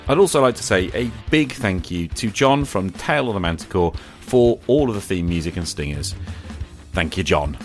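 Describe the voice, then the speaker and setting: A man hosting a podcast in a conversational, earnest and reflective way, with measured phrasing. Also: deep voice, lively intonation, music in the background. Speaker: podcast host. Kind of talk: conversational podcast talk on tabletop roleplaying.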